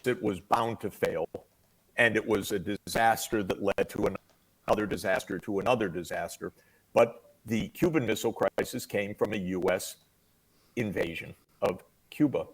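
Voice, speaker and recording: A man explaining history at length in a measured, lecturing way, heard in a played clip. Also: lowish voice, slightly rough, poor audio. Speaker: commentator in a played interview clip.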